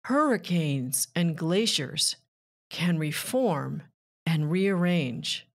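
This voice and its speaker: A woman reading a rhyming children's book aloud with measured, deliberate phrasing. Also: deep voice, animated. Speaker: children's author and narrator.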